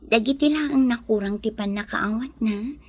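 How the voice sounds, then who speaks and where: A woman playing a gentle, sickly lady from the city in a radio drama, with a breathy, husky voice and an Ilocano accent. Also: on the high side, moderate pace, close to an everyday voice. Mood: reflective. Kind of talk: radio comedy drama acting.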